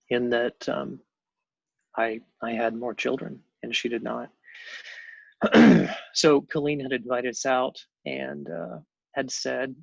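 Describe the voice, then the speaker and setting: Gravelly-voiced man in his fifties, halting. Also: mid-range voice, no echo, dull audio. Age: 50s. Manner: halting, many ums and restarts. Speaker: guest telling his adoption story. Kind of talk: personal storytelling on a panel show.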